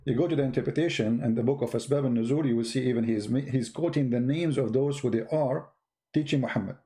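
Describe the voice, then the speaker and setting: Mellow man, mocking and challenging. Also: mid-range voice, fast talker. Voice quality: non-native English grammar. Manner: mocking, challenging, repeats phrases and rhetorical questions. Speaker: live-stream host, religious polemicist. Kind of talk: informal argumentative live-stream monologue.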